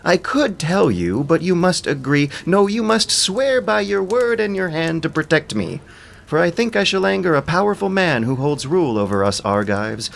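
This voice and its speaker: A man's voice: fairly high pitch, very animated, normal speed, creaky, voice pushed up. A man voicing a wise, wary seer in an epic audio drama, speaking in solemn, anxious declamation. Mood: wary, pleading. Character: wary, wise seer fearing a powerful king.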